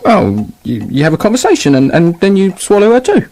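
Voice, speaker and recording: An actor playing a short-tempered, foul-mouthed fairy-tale writer with a British accent in a comic radio play. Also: male voice, high voice, wildly swooping pitch, a little fast, darkish tone, full character voice. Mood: insistent. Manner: exasperated, blunt, bickering.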